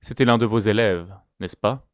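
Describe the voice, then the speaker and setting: Stern, guarded headmaster acted by a man, measured and formal, lowish voice, close dry sound, webtoon dub. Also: no room sound, lightly acted. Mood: measured, probing. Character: stern school headmaster, male, guarded and firm with authority. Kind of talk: amateur webtoon fan dub acting.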